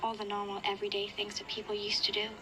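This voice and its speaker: An actress playing a wistful young girl survivor, narrating a reflective, measured audio diary for a video game. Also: medium pitch, completely flat delivery, over music, natural voice, rough recording. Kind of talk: scripted first-person audio diary narration.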